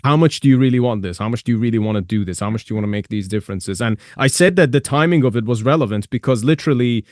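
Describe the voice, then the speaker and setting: A man's voice: medium pitch, rapid-fire, hardly any pauses, a little mellow, dry recording, studio quality. A man from Iran hosting a podcast, talking casually in an earnest, emphatic way. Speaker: podcast host. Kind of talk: casual interview talk.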